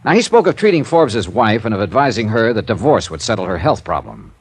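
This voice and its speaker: Wry, lively American radio detective. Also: male voice, on the low side, a little fast, breathy edge. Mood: frank, dry. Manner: dry, sardonic, blunt. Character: tough, wry freelance insurance investigator, male, narrating his cases. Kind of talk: 1950s radio detective acting, clipped hard-boiled narration.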